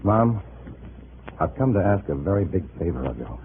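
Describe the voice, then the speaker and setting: A man's voice: low-pitched, very animated, moderate pace. An actor playing a troubled undercover man pleading with his family in a 1950s radio drama. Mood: uneasy, pleading. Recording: tinny, noisy old radio recording.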